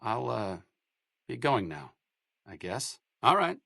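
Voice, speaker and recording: A dry, sarcastic scholar-medic. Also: male voice, mid-range voice, very animated, takes it slowly, vocal fry, sharp tone, dry recording, exaggerated voice. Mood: wry. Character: sardonic male scholar-medic companion, dry wit. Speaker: game companion character. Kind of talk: studio video game voice acting.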